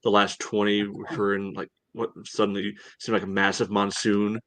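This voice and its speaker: A man's voice: lowish voice, monotone, moderate pace, fairly bright tone, no echo. A man co-hosting a casual sports discussion, talking in a rambling way peppered with filler phrases. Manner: rambling, peppered with "you know". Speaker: podcast co-host.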